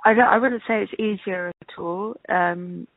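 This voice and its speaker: Female interview guest, gravelly fry, hesitant and conversational, heard down a narrow telephone line. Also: mid-range voice, measured pace, dry recording. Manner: hesitant, thinking aloud. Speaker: interview guest, casting director. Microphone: telephone call. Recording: tinny, band-limited phone line.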